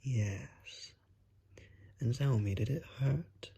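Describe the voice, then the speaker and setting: Suave ASMR vampire, acted by a man: very breathy and husky, unhurried, politely menacing and seductive. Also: mid-range voice, warm tone, heavily acted. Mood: soothing, amused. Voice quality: breathy, husky, half-whispered. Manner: courtly, drawn-out, seductive menace. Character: suave, predatory vampire, coaxing and darkly polite. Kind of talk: ASMR roleplay, slow and whispery.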